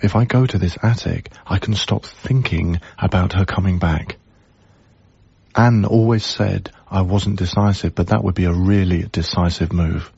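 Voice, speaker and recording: Low, gravelly, heartbroken young Englishman. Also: steady tone, moderate pace, darkish tone, no room sound, lo-fi sound, exaggerated voice. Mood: brooding, reflective. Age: young adult. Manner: wry, reflective, reticent. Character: heartbroken, guarded young man, a wry lodger. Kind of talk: radio drama acting with intimate first-person narration.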